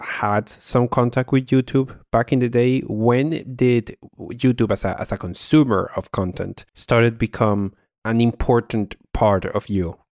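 A man's voice: medium pitch, fairly even intonation, measured pace, few pauses, a little mellow, no echo, clean studio sound. A man hosting an interview, presenting introductions and putting questions to a guest. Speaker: interviewer and host.

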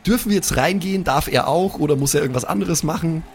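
A man's voice: a little high, fast, a little hiss or hum. A man improvising casually as a player in a tabletop role-play, using slang and English loanwords. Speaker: player in an improvised pen-and-paper audio play.

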